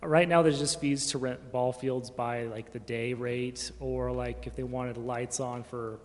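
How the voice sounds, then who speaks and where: A man explaining things informally as a staff member, halting and often correcting himself. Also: medium pitch, steady tone. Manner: halting, self-correcting, casual. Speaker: city staff member answering council questions. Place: large indoor council chamber. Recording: reverberant council chamber, room noise.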